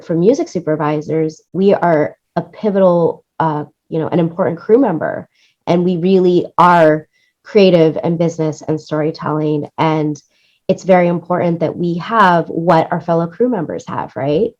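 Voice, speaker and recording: A woman's voice: lowish voice, normal speed, darkish tone, muffled recording. A woman speaking as an interview guest, explaining things earnestly in a casual conversational style and often checking in with "right?". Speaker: interview guest, music supervisor and producer.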